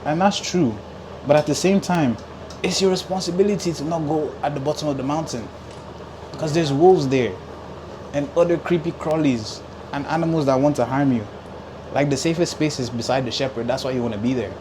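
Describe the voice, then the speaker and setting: A man who grew up speaking Igbo, hosting a casual podcast chat and talking in loose, animated runs full of "like" and repeated words. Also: a little high, brisk, background noise. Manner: animated, talks with filler likes and repeats words. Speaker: podcast host.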